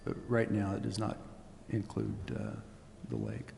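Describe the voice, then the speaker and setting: A man, a city official, answering council questions in a halting way with frequent "uh" pauses. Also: lowish voice, big pitch swings, gravelly, a little mellow, a little hiss or hum. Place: council chamber. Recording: reverberant chamber with faint ambience.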